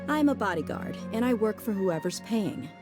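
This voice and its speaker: An actress voicing a tough female mercenary bodyguard who is blunt and casual but soft at heart, in a game cutscene. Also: medium pitch, animated, normal speed, over music, lightly acted. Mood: brisk. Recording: game score softly behind.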